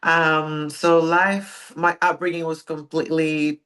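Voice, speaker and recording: A young woman from Greece, a pro bodybuilder speaking relaxed and soft-spoken as a podcast guest. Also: sits low, slow, smooth. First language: Greek. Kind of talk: casual interview.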